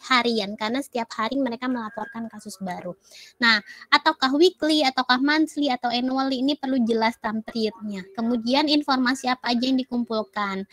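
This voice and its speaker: A woman's voice: a little high, fairly bright tone. A woman giving a casual lecture with many fillers and English terms, recovering from a cough. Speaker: university lecturer.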